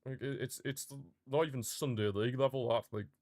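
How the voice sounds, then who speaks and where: A man's voice: medium pitch, steady tone, a little fast, a little mellow, no room sound, clean studio sound. A man reacting live to a football match as a streamer, ranting and calling out to his chat. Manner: passionate, frustrated fan outbursts and rants; talks to live chat viewers.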